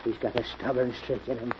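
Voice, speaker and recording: An actor playing a folksy, deferential but sly manservant in an old radio mystery, hesitant and insinuating. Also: male voice, medium pitch, steady tone, normal speed, close to an everyday voice. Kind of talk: 1940s radio mystery acting. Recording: muffled, noisy old-time radio recording.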